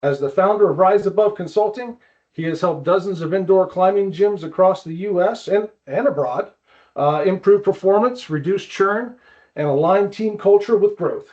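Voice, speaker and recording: A man hosting a podcast in a casual interview style, with a halting delivery that often repeats words. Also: high-pitched, darkish tone, dull audio. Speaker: podcast host.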